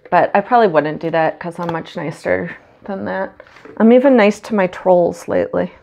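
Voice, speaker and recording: A woman's voice: mid-range voice, gravelly, darkish tone. A woman with a cold and a stuffy nose, chatting casually with lots of ums while she paints at home. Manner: chatty and rambling, many ums. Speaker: acrylic pour artist. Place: home studio, indoors.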